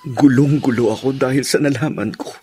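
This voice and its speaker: An actor playing a tipsy, troubled young husband in a radio melodrama, confiding in despair. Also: male voice, mid-range voice, fairly quick, warm tone, slightly rough, soft background music. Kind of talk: melodramatic radio drama acting.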